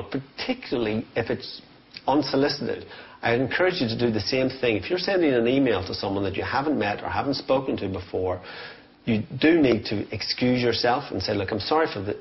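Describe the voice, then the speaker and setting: A man's voice: lowish voice, dull audio. A man giving informal business coaching as a sales trainer, explaining points emphatically with short, direct instructions.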